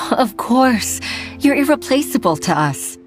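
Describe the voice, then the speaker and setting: A woman's voice: fairly high pitch, big pitch swings, slow, music in the background. A woman voicing a reflective middle-aged female secretary who is a mother, in a video game, speaking fondly. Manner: reflective, fond.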